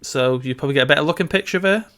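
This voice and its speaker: A man's voice: on the high side, rapid-fire, fairly bright tone. A man co-hosting a podcast, talking casually in a film discussion. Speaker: podcast co-host.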